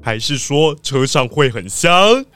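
An actor playing an eager, slightly slow male police squad leader, excitable and blustering, in a children's audio drama. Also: medium pitch, soft background music. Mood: questioning.

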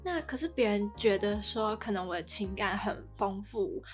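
A woman's voice: medium pitch, lively intonation, normal speed, faint music behind. A woman with a Taiwanese accent co-hosting a podcast in casual, chatty discussion. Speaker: podcast co-host.

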